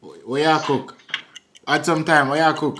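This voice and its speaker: A man's voice: a little high, steady tone, normal speed, fairly bright tone, a little hiss or hum. A man from New York hosting a prank video call, talking playfully in a put-on Jamaican patois. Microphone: phone on a video chat app.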